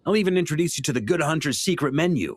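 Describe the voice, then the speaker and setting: A man's voice: on the high side, expressive, racing. A man playing a jovial, easygoing knight commander in an anime game, hearty, informal and teasing. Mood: friendly.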